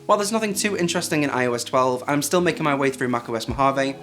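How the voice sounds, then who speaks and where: A man's voice: medium pitch, fast talker, fairly bright tone. Man narrating tech review over music. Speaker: tech video host. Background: background music.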